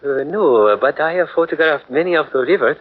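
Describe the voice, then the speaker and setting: Brief: a halting, evasive photographer, a bit high and very lively, old muffled radio. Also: male voice. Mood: polite, evasive. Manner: hesitant, halting, many filler sounds. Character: male Japanese photographer, polite, evasive. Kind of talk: 1940s radio acting. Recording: dull old radio broadcast.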